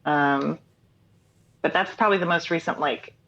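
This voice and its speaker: Woman, low voice, chatty and rambling, muffled lo-fi audio. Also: normal speed, fairly bright tone. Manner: chatty, rambling with fillers and laughs. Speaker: interview guest, singer-songwriter. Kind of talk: casual interview chat.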